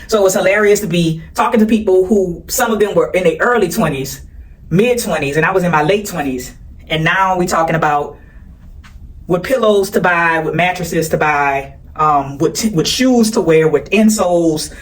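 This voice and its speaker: A woman's voice: fairly low pitch, lively intonation, normal speed, rough recording. A woman in her forties talking casually to her viewers in a vlog, chatty and direct, addressing them as y'all. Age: 40s. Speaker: vlogger. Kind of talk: casual vlog talk to camera.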